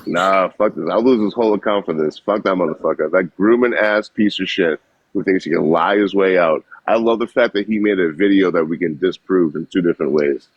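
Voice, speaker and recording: A man's voice: low voice, fairly quick, no echo, highs cut off. A man on a live stream, ranting angrily with lots of swearing. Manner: profane, heated ranting.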